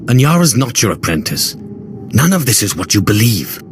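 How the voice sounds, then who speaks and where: An actor playing a cool, skeptical Sith adventurer in a video game, dry and measured in delivery. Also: male voice, lowish voice, sing-song, background noise. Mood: composed. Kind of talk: video game dialogue acting. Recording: loud music behind the voice.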